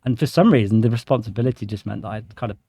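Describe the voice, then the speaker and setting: A man's voice: on the low side, rapid-fire, dark tone, no echo. A man of thirty-nine with an English accent, chatting casually and telling informal anecdotes as a podcast guest. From England, grew up in Shropshire. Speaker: podcast guest, sales director. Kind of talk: casual conversational storytelling.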